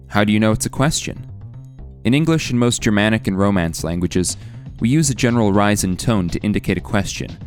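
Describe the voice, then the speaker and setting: A young man narrating a scripted documentary, measured and explanatory, often putting questions to the listener. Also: fairly low pitch, a little mellow. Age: young adult. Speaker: student radio producer and narrator. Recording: faint music underneath.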